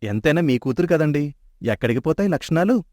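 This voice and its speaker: An actor voicing a cheeky young man in an animated story, who talks playfully and persuasively. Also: on the high side, no echo, lightly acted. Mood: teasing. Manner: playful, quick-tongued and persuasive. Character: cheeky young man, bickering childhood friend. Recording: dry close studio mic.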